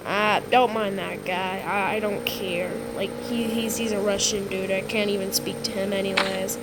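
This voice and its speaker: A woman improvising a disaster roleplay for a game video, speaking haltingly with repeated words and stumbles. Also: mid-range voice, flat delivery. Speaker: gamer recording a roleplay video. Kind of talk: improvised disaster roleplay with mock alert announcements. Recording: clearly noisy background.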